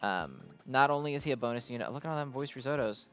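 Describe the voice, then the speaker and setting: A man's voice: mid-range voice, lively intonation, fast, a bit bright, faint music behind. A man giving casual, unscripted game commentary as a video host, explaining things in a hesitant, rambling way.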